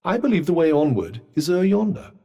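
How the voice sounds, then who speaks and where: Sardonic talking vambrace, British accent, pompous, formal wording, higher-set voice, swooping intonation, video game companion. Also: male voice, very animated, fast talker, mellow, husky, big-room sound, heavily acted. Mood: wry, musing. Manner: pompous, dry wit, wordy and formal. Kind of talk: video game character dialogue.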